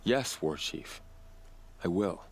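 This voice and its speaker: An actor playing a loyal, eager young warrior in a video game, speaking earnestly. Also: male voice, sits low, lively intonation, slow pace, slight background noise, exaggerated voice. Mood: eager, dutiful. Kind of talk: dramatic video game dialogue.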